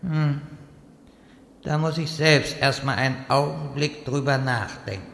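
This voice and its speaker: A man playing a solemn but politely chatty captive wizard in a comic fantasy audio drama, with measured deadpan delivery. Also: medium pitch, creaky. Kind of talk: German audio drama parody. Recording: echoey hall reverb, faint noise.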